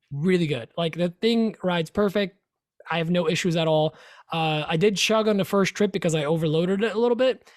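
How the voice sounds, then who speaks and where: A man's voice: on the high side, dry recording, clean studio sound. A man co-hosting a podcast in casual chat, upbeat and talkative, often trailing off and restarting. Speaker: podcast co-host.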